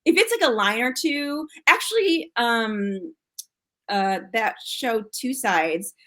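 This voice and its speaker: Woman, American accent, fairly high pitch, casual interview guest, chatty, lots of fillers. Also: lively intonation, slow, pushed and bright. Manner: chatty, frequent fillers and restarts, laughs often. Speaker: actress, podcast guest.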